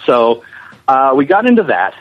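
A man's voice: medium pitch, sing-song, moderate pace. A man being interviewed, telling anecdotes in a chatty, enthusiastic, casual way. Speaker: visual effects animator being interviewed. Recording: muffled band-limited radio-like line.

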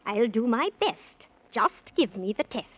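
A woman's voice: fairly high pitch, very animated. A woman playing a pompous, generous elf king who speaks in grand rhymes in a 1930s children's radio serial. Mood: helpful, solemn. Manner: grand, rhyming, deliberate. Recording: muffled 1930s radio recording.

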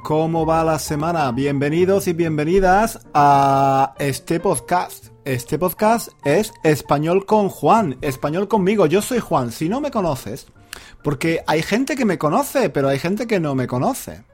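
A man speaks as a teacher to language learners in an informal monologue. His voice sits slightly high and moves up and down with great animation. He keeps the pace slow, repeating phrases and throwing out rhetorical questions theatrically. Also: animated, darkish tone, soft background music. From Granada, Andalusia, Spain. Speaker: Spanish teacher, podcast host.

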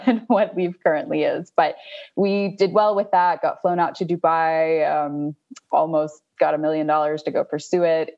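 A young adult woman, a startup co-founder, giving measured explanatory answers in an interview. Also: lowish voice, muffled recording. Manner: measured, explanatory, with small restarts.

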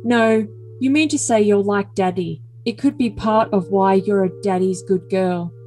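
A woman's voice: mid-range voice, a little slow, a little mellow, soft background music, close to an everyday voice. A woman voicing a doting, controlling, self-important boyfriend in an overly dramatic reading of messages. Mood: commanding.

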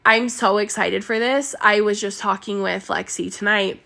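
Bubbly woman podcast host, kinda stuffy nose, bright voice, rambles w lots of likes. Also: medium pitch, steady tone, moderate pace, creaky. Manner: bubbly, chatty, lots of filler words and laughs. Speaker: podcast host and mindset coach. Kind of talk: solo podcast chat.

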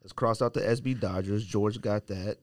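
A man's voice: lowish voice, fairly even intonation, moderate pace, no echo, clean studio sound. A man hosting a podcast, bantering casually in slang and teasing as he talks. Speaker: podcast host. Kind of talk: casual sports-draft banter.

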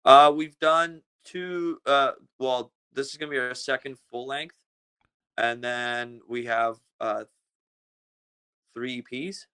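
A man's voice: medium pitch, steady tone, takes it slowly, bright, forceful tone, clear, resonant tone. A man from Russia, a guest musician in his thirties, answering interview questions casually, full of hesitations and restarts. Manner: hesitant, many fillers and restarts.

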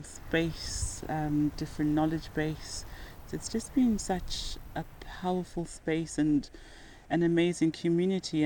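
A woman's voice: low voice, dark tone, slight background noise, rough recording. A woman with a Zimbabwean accent, a podcast guest talking in an earnest, reflective way and laughing now and then as she tells stories. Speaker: guest, nurse and rock climber. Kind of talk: podcast interview conversation.

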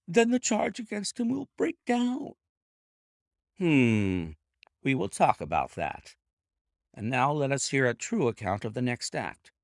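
A man reading aloud and voicing a calm, stern, commanding male detective in a cool, cutting, measured way. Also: medium pitch, dry recording, clean studio sound, voice pushed up. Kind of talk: audiobook character voice.

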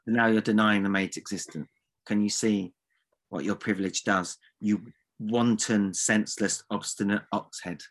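A man's voice: fairly low pitch, steady tone, moderate pace. A man co-hosting a comedy podcast, teasing and theatrically mock-insulting his partner. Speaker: podcast co-host. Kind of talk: comic banter.

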